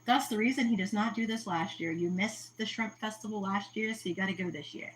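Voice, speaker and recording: A woman's voice: medium pitch, a little fast, a little hiss or hum, rough recording. A woman hosting a casual livestream, chatty, telling stories and laughing often. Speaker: host of an aquarium livestream. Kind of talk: casual chat.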